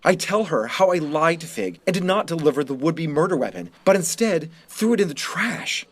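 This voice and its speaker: A man reading a first-person story as a wounded, resentful high school student, in scripted narration. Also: expressive, moderate pace. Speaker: reader of a short story.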